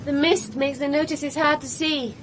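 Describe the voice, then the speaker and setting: A woman's voice: sits high, steady tone, moderate pace, noisy background, natural voice. An actress playing a cool, composed woman driver in a radio drama, answering drily. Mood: cool, polite.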